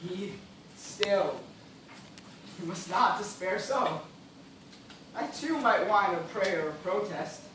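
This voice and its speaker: An actor playing a weary, formal gentleman castaway in an amateur stage play, declaiming with stubborn reason and despair. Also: sits high, animated, takes it slowly, pauses often, full character voice. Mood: grim, reasoning. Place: theatre stage, recorded from audience. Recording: echoey stage, distant mic, noisy.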